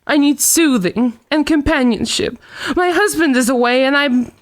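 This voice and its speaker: A woman's voice: sits high, moderate pace, close to an everyday voice. A woman playing a nervous, demanding invalid wife in a melodramatic radio thriller. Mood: demanding, agitated. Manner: imperious, anxious, melodramatic. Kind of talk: old-time radio thriller acting.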